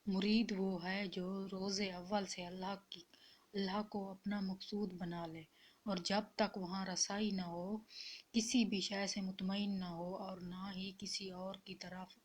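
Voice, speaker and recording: Slow-paced woman reciting quotes. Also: mid-range voice, little pitch movement. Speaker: narrator. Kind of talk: scripted narration of spiritual quotes.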